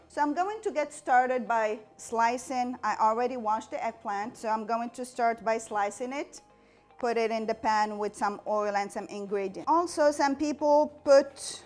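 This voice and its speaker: A woman in her forties from Morocco, hosting a cooking show and explaining each step as she cooks in a home kitchen on a lapel mic. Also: fairly high pitch. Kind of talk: cooking demonstration.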